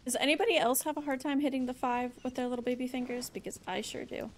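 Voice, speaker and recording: A woman's voice: sits high, fairly even intonation, fast talker, slight background noise. A young woman doing casual live gameplay commentary as a streamer, wry, rambling and playful. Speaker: game streamer. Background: game music.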